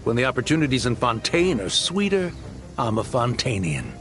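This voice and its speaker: An actor playing a greedy smuggler posing as a philanthropist, boastful and wheedling, in a game cutscene over faint music. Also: male voice, mid-range voice, very animated, moderate pace, fairly bright tone. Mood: smug.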